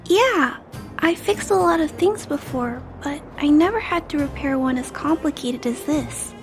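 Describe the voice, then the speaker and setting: An earnest little girl, high-voiced and unhurried. She is timid and kind-hearted, hesitating as she speaks in a video game scene with light music behind her. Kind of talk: scripted game voice acting.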